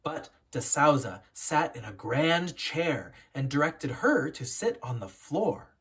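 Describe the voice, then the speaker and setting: An educator reading a children's picture book aloud in a storytelling, instructive way with deliberate emphasis and a slightly husky voice. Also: medium pitch, big pitch swings.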